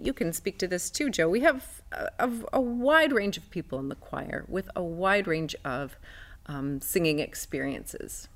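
A woman who directs a choir, a guest in an interview, explaining things in a friendly, measured way. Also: on the low side, a bit bright. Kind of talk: interview guest answering questions.

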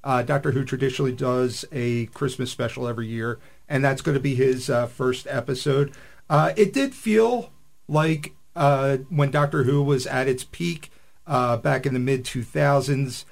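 Slightly creaky man giving radio commentary. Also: medium pitch, moderate pace. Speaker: science fiction podcast panelist.

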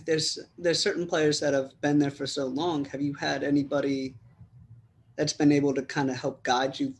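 A man's voice: on the high side, flat delivery, moderate pace, slight background noise. A man from the United States interviewing a footballer, asking long, rambling questions in a chatty way.

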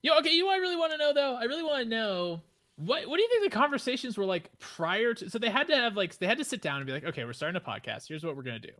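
A man from the Midwest hosting a casual podcast, with a nasal voice, rambling and excitable, rising high when he gets worked up. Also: rapid-fire. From American Midwest. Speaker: podcast host.

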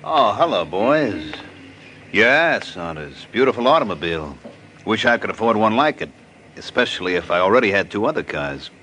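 An actor playing a sarcastic, aggrieved moneylender full of wiseguy slang in a 1950s radio crime drama. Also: male voice, on the low side, very animated, moderate pace, pushed and bright. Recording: slightly noisy old radio recording.

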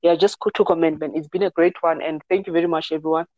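A man's voice: on the high side, fairly even intonation, quick pace, darkish tone, no echo, dull audio. A man co-hosting a casual MMA podcast over a remote call, easygoing, with frequent "yeah" and "um". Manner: easygoing, says "yeah" and "um" often. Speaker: podcast co-host.

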